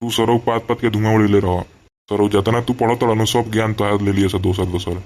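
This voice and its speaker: A man's voice: fairly low pitch, fairly even intonation, fairly quick, a little mellow, lightly acted. A man voicing an exasperated, coarse, teasing village schoolteacher with a Bhojpuri accent in a cartoon comedy. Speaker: voice actor.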